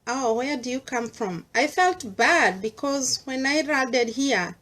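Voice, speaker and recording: A woman's voice: high voice, expressive, slow, fairly bright tone. A middle-aged Kenyan woman with a Kenyan accent, speaking earnestly in a personal monologue and mixing English with Swahili. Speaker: vlogger sharing personal views.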